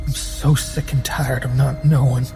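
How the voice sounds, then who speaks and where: A man's voice: medium pitch, flat delivery, a little slow, creaky, music in the background. A man playing an exhausted male adventurer in a tabletop game, worn down and defiant, fed up with not knowing. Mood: weary, defiant.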